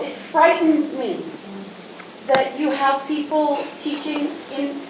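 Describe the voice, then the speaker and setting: Concerned woman in the crowd, high voice, slow, echoey hall with noise. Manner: emphatic, concerned. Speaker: audience member. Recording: echoey hall from far off, noisy.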